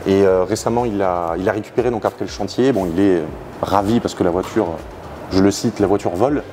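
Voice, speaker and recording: Guy with a lowish voice explaining stuff casually, keeps hunting for words, clear noise around him in a garage. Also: brisk, mellow. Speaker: garage owner showing customers' cars. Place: large workshop hangar, indoors.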